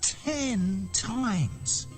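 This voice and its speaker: A man's voice: sits high, huge pitch swings, extremely slow, soft background music, full character voice. An actor playing an aggrieved, indignant shepherd pleading his case in a dramatized scripture reading, declamatory and archaic in diction.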